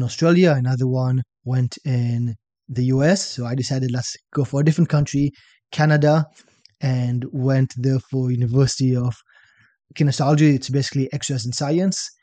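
A young man from Israel with an Israeli accent, chatting as a podcast guest and telling stories in a relaxed way, often ending points with "right?". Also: mid-range voice, no echo. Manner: relaxed storytelling, tags statements with "right?". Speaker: podcast guest, fitness coach. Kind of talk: casual interview chat.

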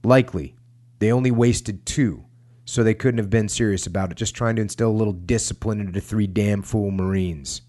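Man narrator as seasoned male Marine, war memoir, lowish voice, clipped, matter-of-fact, unflappable. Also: normal speed, mellow, lightly acted. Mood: dry, unbothered.

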